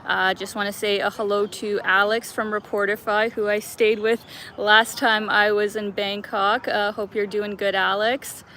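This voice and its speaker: A woman's voice: medium pitch, flat delivery, a little slow, bright, forceful tone, a little hiss or hum. A young woman hosting a travel live stream outdoors in a city park, chatty and laughing often as she greets viewers by name.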